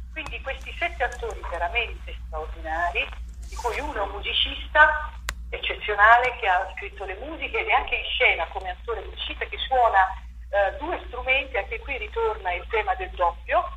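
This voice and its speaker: A woman playwright and director giving an interview about her stage play, talking in an animated way and restarting her sentences often as she thinks aloud. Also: mid-range voice, moderate pace. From Italy. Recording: echoey room with faint noise.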